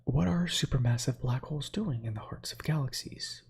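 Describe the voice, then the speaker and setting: A man in his thirties whose first language is Slovak, whispering softly as an ASMR streamer who reads space facts with chatty, meandering asides to viewers. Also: medium pitch, moderate pace. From United States. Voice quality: breathy whisper.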